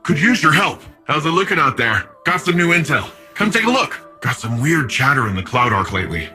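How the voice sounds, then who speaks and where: Big cheerful young hero dude in a video game, super animated, slangy and friendly, bit of music behind. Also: male voice, a little high, brisk, exaggerated voice. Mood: businesslike. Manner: breezy, slangy, eager, friendly. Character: cheerful, cocky, big young male city defender.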